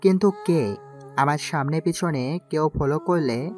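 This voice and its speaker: A man's voice: fairly high pitch, unhurried, a little mellow, close to an everyday voice. Man playing a curt, commanding gangster. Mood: grim, resolute. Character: brooding, commanding male gangster, vengeful and stubborn. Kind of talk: audio story acting. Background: faint music.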